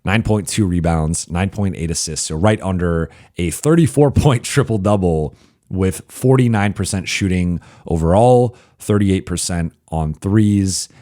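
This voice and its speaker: Hoarse low-voiced man reading off stats. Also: dark tone, no room sound, clean studio sound. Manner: analytical, reels off statistics with frequent "uh" pauses. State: hoarse, partly lost voice. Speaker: basketball reporter and show host. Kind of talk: solo mailbag podcast commentary.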